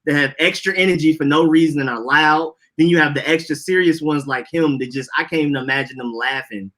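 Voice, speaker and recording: A man's voice: a little high, brisk, fairly bright tone. A young man with an Atlanta accent, co-hosting a podcast and telling loose, slangy stories in casual chat. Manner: loose, rambling storytelling with slang. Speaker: podcast co-host.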